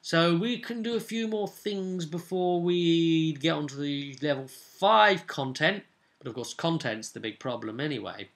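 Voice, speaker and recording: A man hosting as game master, casually recounting a tabletop role-play session in an animated storytelling way, repeating words for emphasis. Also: a little high, a little slow. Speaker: game master and vidcast host.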